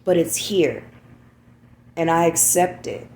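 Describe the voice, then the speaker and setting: Low, gravelly woman speaking candidly. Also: takes it slowly, warm tone. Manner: candid, repeats "you know what I'm saying". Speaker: podcast host. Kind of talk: reflective personal monologue.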